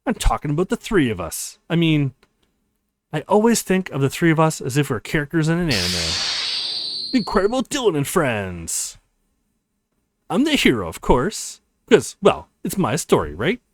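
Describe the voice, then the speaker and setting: A theatrical young college guy who sees himself as an anime hero, acted by a male let's player. He is boyish and excitable, with a fairly high, wildly swinging pitch. It is visual novel dialogue with a dry sound. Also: normal speed, dry recording. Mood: excited, boastful.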